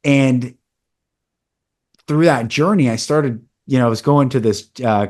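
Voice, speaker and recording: A man's voice: medium pitch, measured pace, a little mellow. A man in his forties hosting a podcast, telling a personal story in an earnest, candid, casual way. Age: 40s. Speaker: podcast host. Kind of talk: casual conversation.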